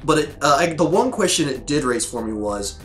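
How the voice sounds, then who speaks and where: A man's voice: fairly high pitch, very animated, a little fast, soft background music. A man hosting his own show, chatting loosely with lots of fillers and restarts, his voice scratchy from a sore throat. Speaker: host reviewing episodes. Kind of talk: casual rambling commentary to camera.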